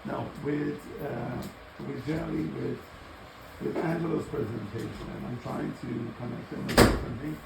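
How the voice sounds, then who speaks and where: Halting, gravelly-voiced man in a roomy space. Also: medium pitch, steady tone. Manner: halting, thinking aloud, rephrasing often. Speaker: discussion moderator responding to speakers. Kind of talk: unscripted academic architecture discussion. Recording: roomy, distant microphone, clear background noise.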